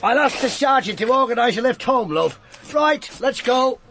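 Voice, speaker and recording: Radio drama detective: middle-aged, English, gravelly, wry copper with widely swinging delivery. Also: male voice, very high voice, animated, moderate pace, fairly bright tone, a little hiss or hum, exaggerated voice. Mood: grim, businesslike. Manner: dry, clipped, colloquial copper's talk. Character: gruff middle-aged male police detective inspector, wry and world-weary. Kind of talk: BBC radio drama acting.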